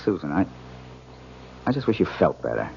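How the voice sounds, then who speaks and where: A muffled vintage radio recording with faint noise. a playful, attentive husband with a deep male voice, talking casually in 1970s radio drama style. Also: sing-song, moderate pace, vocal fry, heavily acted. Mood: solicitous.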